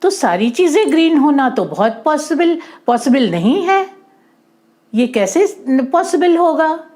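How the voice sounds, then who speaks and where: A woman acting out a wealthy storybook man as a worried patient, pleading and questioning. The pitch is high and swings up anxiously in a very animated way. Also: measured pace. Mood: baffled, protesting.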